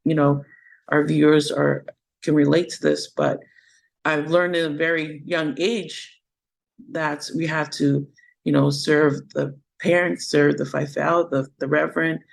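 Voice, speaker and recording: A woman's voice: deep voice, level tone, unhurried, vocal fry, low-quality recording. A woman from the United States, a guest on a podcast, talking in an upbeat, affirming way and often checking in with "right". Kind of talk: casual interview chat.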